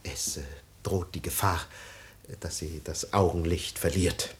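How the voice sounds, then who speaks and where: A man's voice: low-pitched, steady tone, unhurried, a little hiss or hum. An actor playing a vain, self-pitying middle-aged family man in a radio play, swinging between grumbling and pathos. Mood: mournful.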